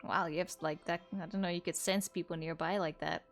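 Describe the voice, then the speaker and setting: A woman's voice: on the low side, fairly even intonation, a little fast. Young woman chatting through a game. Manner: rambling, informal, musing aloud. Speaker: gaming video creator. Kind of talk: casual let's-play commentary. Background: game music.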